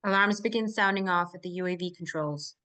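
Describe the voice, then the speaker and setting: A woman's voice: lowish voice, brisk, pushed and bright. A woman narrator reading screenplay stage directions aloud at a live table read.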